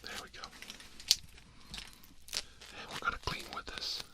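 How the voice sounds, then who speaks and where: An ASMR performer whispering softly as a gentle caretaker, coaxing and soothing. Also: measured pace. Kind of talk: ASMR roleplay.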